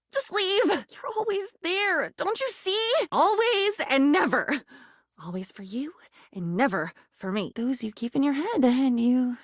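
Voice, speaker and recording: A woman's voice: high-pitched, a bit bright, no echo, clean studio sound, full character voice. A woman voicing a living diary in a video game, bitter, wounded and theatrical, dramatic and sarcastic as she swings between confiding and outburst. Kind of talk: video game character voice acting.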